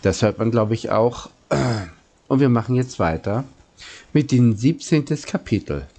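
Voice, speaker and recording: A man's voice: mid-range voice, darkish tone. A man with a sniffly head cold, reading a children's story aloud and now and then stumbling over words. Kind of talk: slow, informal audiobook-style reading.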